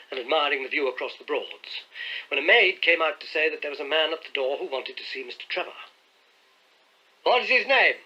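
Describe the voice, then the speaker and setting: A man narrating an audiobook in a measured, crisp storytelling manner. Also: a little high, bright, forceful tone. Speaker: audiobook reader. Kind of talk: scripted narration. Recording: dull lo-fi audiobook recording.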